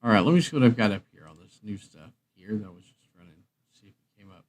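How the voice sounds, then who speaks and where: A man's voice: on the low side, animated, fast talker. A man hosting a casual livestream, rambling and thinking aloud as he works through what to play next. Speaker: livestream host.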